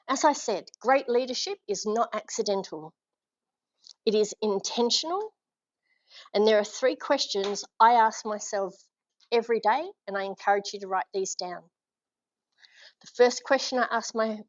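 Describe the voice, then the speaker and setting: A woman's voice: a little high, animated, unhurried, pauses often, no room sound. An Australian woman gives a live leadership talk with energy. She draws the room in with questions and encouragement. The sound is dull and dry. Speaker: leadership speaker. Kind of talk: live presentation to a small audience. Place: function room with an audience.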